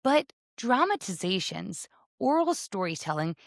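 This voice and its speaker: A woman's voice: mid-range voice, very animated, measured pace, vocal fry, no echo. Lady podcast host, really emphatic and affirming, chatty interview style, dry studio-like sound. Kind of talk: conversational interviewing.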